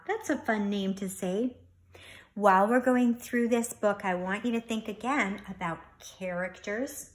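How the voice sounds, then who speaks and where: A middle-aged woman reads and explains a picture book to young children in an expressive, deliberate, instructive way. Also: fairly high pitch, roomy sound. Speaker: children's story reader and teacher. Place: carpeted or wood-floored living room, indoors.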